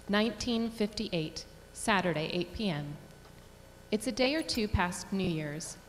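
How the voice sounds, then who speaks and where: A woman reading stage directions as scripted narration for a staged reading. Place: performing arts theatre. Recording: echoey theatre hall, rumbling noise.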